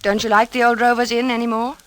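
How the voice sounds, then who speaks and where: An actress playing a worried, soothing wife, gentle and coaxing, in 1940s radio suspense style. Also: female voice, a little high, flat delivery, fast, dry recording, natural voice. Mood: cautious concern. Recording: vintage radio drama recording, music underneath.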